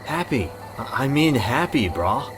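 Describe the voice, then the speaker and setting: A man's voice: mid-range voice, very animated, a bit bright. A man voicing a friendly, awkward young ghost in a visual novel, rambling and correcting himself in an earnest way. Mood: awkward, explaining. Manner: halting, rambling, self-correcting. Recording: music and night insects behind. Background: music, crickets and frogs.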